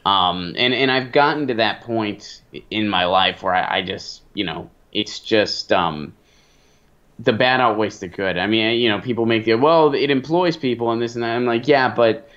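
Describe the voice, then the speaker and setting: A man co-hosting a political talk show, giving opinions in a halting, emphatic way as he thinks aloud. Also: fairly low pitch, fairly bright tone, dull audio.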